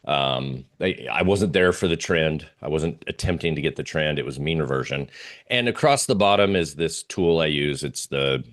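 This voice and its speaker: A man's voice: extremely low pitch, expressive, normal speed, fairly bright tone. A man giving a casual, hesitant presentation as a guest trader, thinking aloud as he talks through charts. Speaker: interview guest, oil trader.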